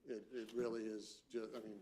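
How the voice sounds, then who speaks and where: A man's voice: fairly low pitch, level tone, brisk, dark tone. A man introducing himself as a city manager candidate at a public council meeting, speaking hesitantly with many fillers. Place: council chambers.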